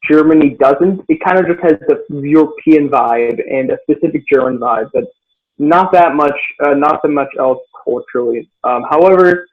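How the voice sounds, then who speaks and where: Teen male guest, slightly high voice, halting with lots of fillers, narrow phone-like sound. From United States. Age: teens. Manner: hesitant, many fillers and restarts. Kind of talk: casual interview answers. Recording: tinny phone-like line.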